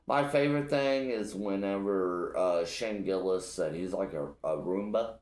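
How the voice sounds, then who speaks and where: A young man from Illinois hosts a casual solo podcast in a blunt, profane, rambling way. His voice sits very low and he talks slowly. The room around him is echoey. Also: a little mellow. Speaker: podcast host.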